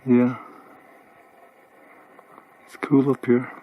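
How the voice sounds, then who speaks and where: A man's voice: medium pitch, extremely slow, husky, narrow, tinny audio. Chatty man in his sixties outdoors. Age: 60s. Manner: relaxed, chuckling, repeats himself. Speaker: hiker filming a vlog. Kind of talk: casual outdoor chat. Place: mountain trail outdoors. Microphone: handheld camera.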